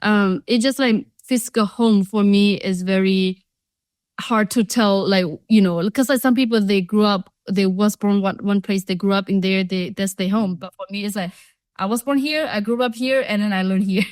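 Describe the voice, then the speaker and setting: A woman's voice: mid-range voice, steady tone, normal speed, a little mellow, no room sound, clean studio sound. A young woman from Thailand, a social enterprise founder, telling her story earnestly and chattily as an interview guest. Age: young adult. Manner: earnest, chatty, many "you know" and "like" fillers.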